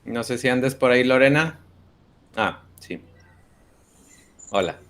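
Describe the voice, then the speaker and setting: A man's voice: mid-range voice, lively intonation, slow pace, fairly bright tone. Male teacher, 30s, Spanish, video-call roll call, short friendly replies. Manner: friendly, brief acknowledgments. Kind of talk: online class roll call.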